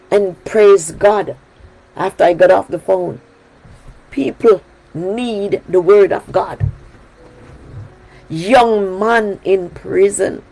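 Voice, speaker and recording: A woman's voice: medium pitch, gravelly, darkish tone, rough recording. A woman from Jamaica with a Jamaican accent, preaching a sermon on her prayer broadcast in an emphatic style that repeats key phrases. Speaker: pastor leading a prayer broadcast.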